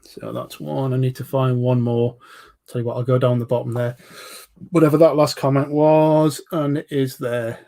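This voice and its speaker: Forties London bloke hosting a live stream; chatty, banters with viewers, plenty of ums. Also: male voice, medium pitch, little pitch movement, normal speed, vocal fry, dark tone. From England. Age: 40s. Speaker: livestream host reviewing vape juices. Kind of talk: casual live chat reading viewer comments.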